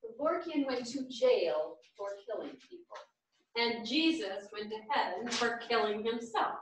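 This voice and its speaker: An actress as a high-voiced, gentle older woman. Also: lively intonation, unhurried. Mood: puzzled, droll. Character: gentle, earnest older woman, easily puzzled, quietly droll. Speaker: actor in a staged reading. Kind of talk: theatrical staged reading. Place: small theatre stage. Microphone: distant camera. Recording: very echoey theatre, distant microphone.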